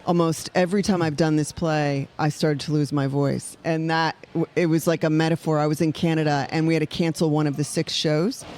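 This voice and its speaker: A woman playwright chatting on a podcast in an animated, fast-paced way, with laughing asides. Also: low-pitched. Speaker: playwright guest. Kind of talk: casual chat.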